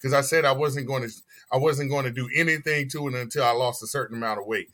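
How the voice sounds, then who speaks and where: Podcast host, man, early forties, bright tone, animated and emphatic. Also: mid-range voice, fast talker. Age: 40s. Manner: animated, emphatic, repeats phrases. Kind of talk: casual chat.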